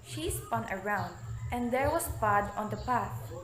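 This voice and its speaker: A woman's voice: on the high side, animated, a little slow, fairly bright tone. A young woman reading scripted narration for a readers' theatre story. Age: young adult. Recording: echoey room with background noise.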